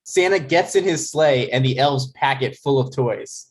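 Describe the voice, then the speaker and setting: A man's voice: mid-range voice, a little slow. A man narrating stage directions from a script at a comedy table read.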